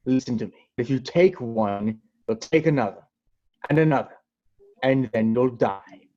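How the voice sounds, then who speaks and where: A man's voice: medium pitch, darkish tone, lightly acted. A man voicing a brusque country doctor who lectures firmly and scolds. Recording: dull lo-fi sound.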